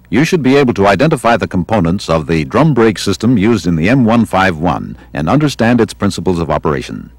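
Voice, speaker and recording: An American man reading scripted instructional narration for a training film in a measured, didactic way. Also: fairly low pitch, no echo. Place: studio voice-over.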